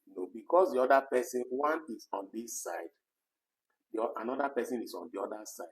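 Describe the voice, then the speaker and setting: A man's voice: mid-range voice, expressive, fairly quick, poor audio. A man co-hosting a casual live discussion, chatty and full of "you know" fillers, reading out viewer comments. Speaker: co-host of an online talk program.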